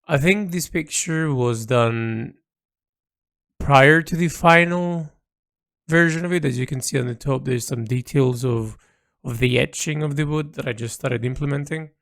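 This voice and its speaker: A man giving informal audio log commentary on his own artwork, speaking in a halting, reflective way with pauses mid-phrase. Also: mid-range voice, vocal fry, fairly bright tone. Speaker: artist narrating own project log.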